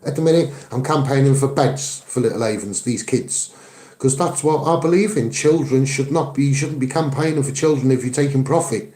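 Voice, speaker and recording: Man, Liverpool accent, activist monologue, earnest, emphatic, dark mellow tone, echoey room sound. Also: mid-range voice, brisk. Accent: Liverpool (Scouse). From Liverpool, England. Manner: earnest, emphatic, repeating phrases for stress. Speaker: activist and online video maker. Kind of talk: impassioned campaigning monologue to camera.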